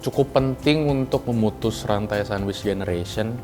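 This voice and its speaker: Low-pitched young man, casual talk. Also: normal speed, over music. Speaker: campaign officer being interviewed. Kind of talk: casual interview answers.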